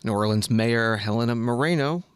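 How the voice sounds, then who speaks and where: A man hosting a news program, reading scripted news in a measured, even way. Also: medium pitch, a loud crowd, heavy background noise. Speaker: radio news host.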